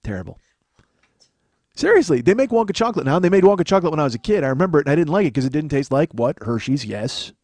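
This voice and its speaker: A man hosting a podcast, riffing in a profane, excitable comedic monologue into a studio microphone. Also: fairly high pitch, quick pace, no room sound. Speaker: podcast host.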